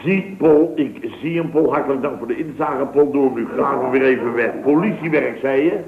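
Actor as a pompous contractor boss, sarcastic, 1960s radio comedy, tinny and roomy sound. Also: male voice, mid-range voice, normal speed, crowd noise, natural voice. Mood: dry. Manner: pompous, sarcastic, overly polite. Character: pompous middle-aged male contractor boss, smug hunter. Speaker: construction firm boss. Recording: echoey, tinny old radio broadcast.

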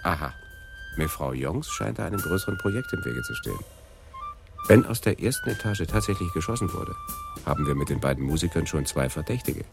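Very deep, theatrical, eager detective. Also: male voice, huge pitch swings, fairly quick, vocal fry, full character voice. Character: eager, sardonic male police detective. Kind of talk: radio crime play acting. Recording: loud music behind the voice.

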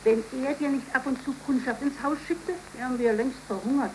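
An actress playing a practical, scolding middle-aged mother in a 1930s German radio play, brisk and chiding. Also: high voice, natural voice. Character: practical, scolding, money-minded widowed mother. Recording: noisy 1931 radio recording with sizzling hiss.

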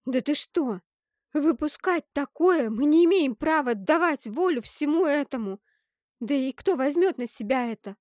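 A woman's voice: medium pitch, steady tone, unhurried, mellow, no room sound, clean studio sound, natural voice. A woman voicing a stern, protective fantasy heroine, firm, emphatic and tense, in an audiobook story. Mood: indignant.

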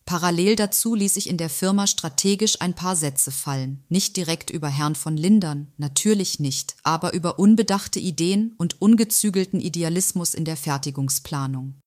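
A woman's voice: on the low side, moderate pace, few pauses, a little mellow, no room sound, studio quality, close to an everyday voice. A woman playing a smug, scheming company confidante in an audio drama monologue, slyly self-satisfied with feigned innocence. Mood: smug, coy.

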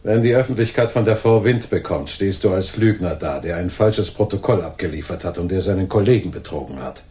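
A man playing a calm, authoritative police detective in a radio crime drama, measured and firm. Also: low voice, slight background noise. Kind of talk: 1980s German radio crime drama acting.

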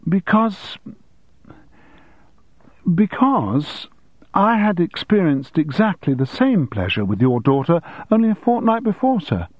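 A man's voice: high voice, sing-song, unhurried, long pauses, dry recording, highs cut off, pitched above the performer's own voice, exaggerated voice. A man voicing a cheeky, unflappable teenage schoolboy in an audiobook, with frank, mock-deferential politeness. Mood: cheekily candid. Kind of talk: audiobook character dialogue.

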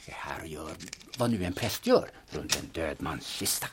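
An actor playing a cynical, blunt, secretive grave robber in an audio drama, telling things in a dry manner. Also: male voice, a bass voice, animated, background noise. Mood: sardonic, bossy.

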